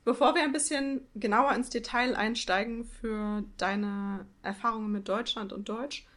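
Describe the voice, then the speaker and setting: A woman hosting an interview, friendly and laughing often, asking questions at an unhurried pace. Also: a little high, fairly even intonation, a bit bright. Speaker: interview host. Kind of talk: casual interview chat.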